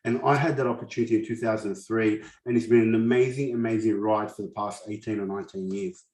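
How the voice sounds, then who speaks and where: A man's voice: on the low side, steady tone, fast talker, darkish tone, reverberant, low-quality recording. A man in his forties with a lisp, whose first language is not English, giving a personal, earnest motivational presentation at a summit. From grew up in a war-torn country, raised in Australia. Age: 40s. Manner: earnest, personal storytelling with frequent restarts. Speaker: summit speaker.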